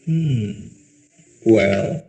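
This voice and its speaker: A man's voice: lowish voice, expressive, drawn-out words, gravelly, exaggerated voice. A man playing a guarded, hesitant young student in an amateur radio drama. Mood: sceptical. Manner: reserved, hesitant, terse. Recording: roomy echo, faint music behind.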